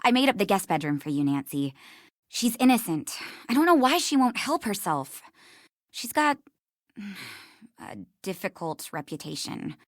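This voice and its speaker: An American woman acting as a chatty, harried hostess in a video game, expressive, with brittle politeness. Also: mid-range voice, measured pace, dry recording, clean studio sound. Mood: chatty, hospitable, then worried.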